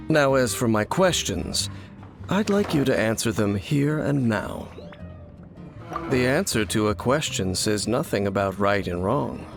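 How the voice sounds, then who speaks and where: A man's voice: mid-range voice, gravelly. A man playing a solemn, enigmatic wanderer in a video game, speaking in a cool, formal, cryptic way. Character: solemn, enigmatic male wanderer hunting dark forces. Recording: music behind the voice. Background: background music.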